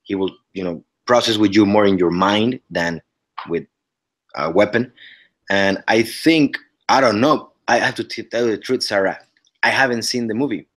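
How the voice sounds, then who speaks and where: A man's voice: fairly low pitch, normal speed, fairly bright tone. A man in his thirties from Mexico with a Mexican Spanish accent, speaking English as a second language. He talks earnestly on a video call from home, often restarting his sentences. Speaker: actor guest. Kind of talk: casual interview chat over video call. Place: living room at home. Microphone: webcam on a video call.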